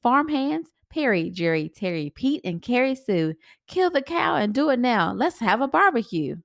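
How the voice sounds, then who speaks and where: A woman's voice: a little high, big pitch swings, moderate pace, gravelly, dry recording, studio quality, pitched above the performer's own voice. A woman voicing a forgiving, commanding farmer father in a children's storybook, with emphatic delivery. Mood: jubilant.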